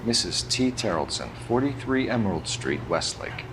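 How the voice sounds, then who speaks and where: An actor playing a polite, earnest young 1940s police detective, American, formal and measured in a video game drama. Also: male voice, fairly low pitch, big pitch swings, noisy background. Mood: businesslike.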